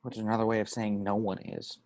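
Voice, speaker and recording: A woman's voice: a bass voice, level tone, fast talker, dark tone. A woman playing a defiant, competitive superpowered schoolboy in a script table read, with an indignant, argumentative manner.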